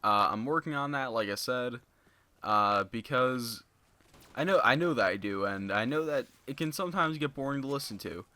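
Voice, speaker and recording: Young guy, flat monotone voice but bright and clear, rambling with tons of "you know"s, cheap home mic. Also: mid-range voice, moderate pace, no room sound. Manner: rambling, filler-heavy, many "you know"s. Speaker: gaming YouTuber. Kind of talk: casual unscripted commentary to viewers. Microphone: basic low-end home mic.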